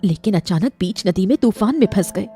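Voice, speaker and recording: A woman's voice: medium pitch, lively intonation, a little fast, warm tone, natural voice. A woman playing an anxious, thoughtful queen in a Hindi story drama, speaking earnestly. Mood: worried. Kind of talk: Hindi moral-story audio drama acting. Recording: music behind the voice.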